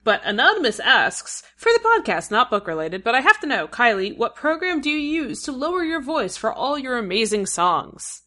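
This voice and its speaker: Female podcast host, bright, husky tone, opinionated, conversational delivery. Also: on the high side, big pitch swings, normal speed, few pauses. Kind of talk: casual discussion among co-hosts.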